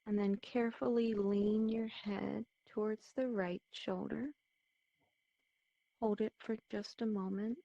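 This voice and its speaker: Gravelly, slow-talking woman guiding meditation. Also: mid-range voice, dry recording, muffled recording. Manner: soothing, gentle, unhurried with pauses. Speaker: meditation teacher. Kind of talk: guided meditation.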